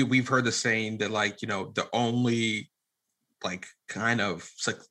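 A man in casual podcast conversation, talking in a halting, rambling way and often restarting his phrases. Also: lowish voice, sharp tone. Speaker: podcast guest and media producer. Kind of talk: casual conversational interview talk.

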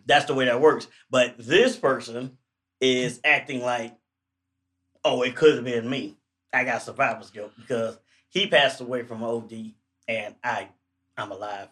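A man's voice: medium pitch, steady tone, a little slow, a bit bright. A man co-hosting a casual podcast, telling stories in an easygoing way. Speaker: podcast co-host. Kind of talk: casual chat.

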